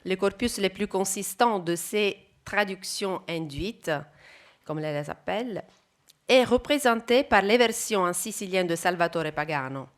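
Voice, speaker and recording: A woman from Italy, giving a careful, measured talk at an academic conference. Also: medium pitch, animated. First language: Italian. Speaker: conference speaker.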